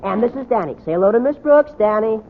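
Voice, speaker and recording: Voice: mid-range voice, expressive, fast talker, close to an everyday voice. An actor playing an earnest, breezy fourteen-year-old schoolboy in a 1940s radio comedy. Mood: eager, breezy. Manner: guileless, breezy, gee-whiz. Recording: noisy, tinny old radio broadcast.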